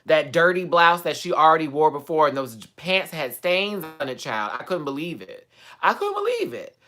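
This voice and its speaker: A man's voice: on the high side, very animated, moderate pace, pushed and bright. A man from Texas hosting a live chat, giving sassy, profane, gossipy commentary on a reality TV show. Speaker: host.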